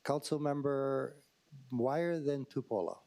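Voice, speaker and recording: A man's voice: mid-range voice, slow, dark tone. A man chairing a council committee meeting, calling on members to speak.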